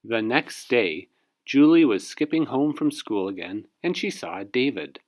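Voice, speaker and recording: A man narrating a children's storybook aloud in an expressive read-aloud style. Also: mid-range voice, a little slow. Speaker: storybook reader.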